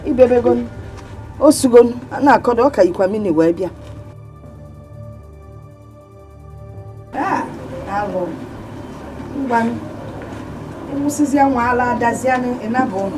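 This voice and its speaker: A woman's voice: a little high, normal speed, pauses often, natural voice, low-quality recording. Stern middle-aged Nigerian mother, scolding actress. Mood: sarcastic, firm. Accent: Nigerian, Igbo. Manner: scolding, sarcastic, repeats phrases. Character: stern, scornful mother of a grown son. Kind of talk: Nigerian film drama acting. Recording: faint music behind.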